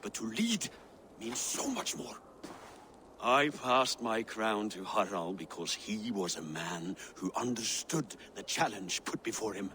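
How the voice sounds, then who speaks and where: Proud aging Norse king, swooping pitch. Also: sing-song, a little slow, sharp tone, a little hiss or hum, heavily acted. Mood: defensive. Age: older. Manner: declamatory, earnest, formal phrasing. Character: aging former Norse king, proud but wounded father. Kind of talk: dramatic video game acting.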